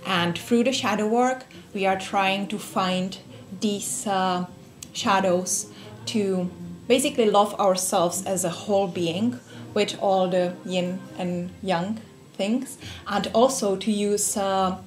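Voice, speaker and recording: A woman's voice: medium pitch, steady tone, slow pace, faint music behind. Czech-accented woman giving a friendly talk. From Czech Republic. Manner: friendly, many fillers and restarts. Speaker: speaker giving a workshop talk. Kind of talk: casual spoken talk to a small group. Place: room with a group of listeners.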